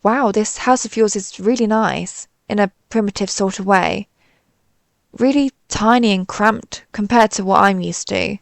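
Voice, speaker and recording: A woman's voice: medium pitch, a little slow, creaky, dry recording. A woman playing a timid, suspicious alien pizza slice who rambles hesitantly and puts herself down in a scripted audio roleplay. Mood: curious, pleased. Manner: hesitant, rambling, self-deprecating.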